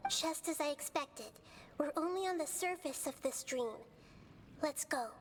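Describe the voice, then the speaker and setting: A woman voicing a young girl goddess in a video game, calm and wise, explaining things gently and patiently. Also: high voice, a little slow. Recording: game audio with steady background noise.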